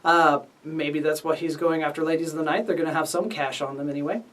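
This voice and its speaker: A man's voice: on the high side, steady tone, fast, fairly bright tone, recorded from a distance. A man hosting a casual writing lesson as the instructor. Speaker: writing instructor and series host.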